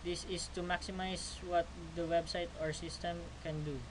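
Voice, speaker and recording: A man's voice: high-pitched, little pitch movement, normal speed. A twenty-two-year-old man from the Philippines, a student presenting a class project, reading stiffly and repeating phrases. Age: 20s. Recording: noticeable background noise.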